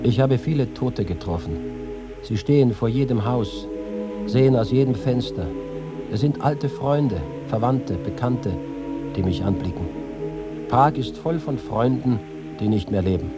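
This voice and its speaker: An ageing man home from exile, played by an actor, speaks as a proud, nostalgic reporter in a radio feature. His delivery is expressive and literary, with music clearly behind him. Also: mid-range voice, measured pace, close to an everyday voice. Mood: mournful. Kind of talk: radio feature dramatic monologue.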